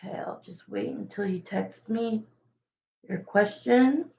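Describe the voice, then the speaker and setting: A woman with a raspy voice doing a casual livestream tarot reading, chatting and thinking aloud between viewer questions. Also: medium pitch, a little slow, background noise. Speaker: tarot reader. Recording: echoey, dull lo-fi room sound.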